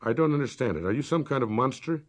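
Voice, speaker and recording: A man's voice: mid-range voice, lively intonation, fast, natural voice. Man reading as a cold, clipped, severe older spinster. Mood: cold contempt. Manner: clipped, precise words. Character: cold, sure, unbending middle-aged maiden lady, imperious.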